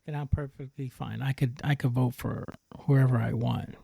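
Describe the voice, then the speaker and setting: A man's voice: medium pitch, steady tone, normal speed, gravelly, warm tone, husky, dry recording. A man hosting a podcast, chatting casually and easygoingly with many fillers. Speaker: podcast host. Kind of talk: casual chat.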